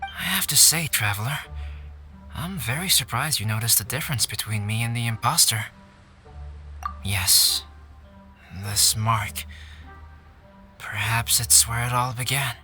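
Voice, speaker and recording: An actor playing a reserved, thoughtful young alchemist in an anime game, speaking in a measured, polite way. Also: male voice, mid-range voice, pushed and bright. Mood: reflective. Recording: music behind the voice.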